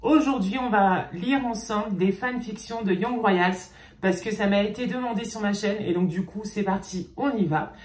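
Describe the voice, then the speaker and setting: A woman YouTuber reading fan fiction aloud and pausing often to comment, in a chatty manner. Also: mid-range voice. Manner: chatty, reading slowly, with frequent "ok" and hesitations. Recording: roomy echo.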